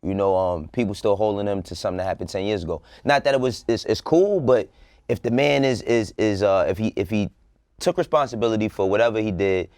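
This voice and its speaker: A man's voice: on the low side, a little fast, darkish tone, no room sound, clean studio sound. A man with an American accent chatting casually and emphatically as a talk show co-host, full of slang and swearing. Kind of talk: casual opinionated panel chat.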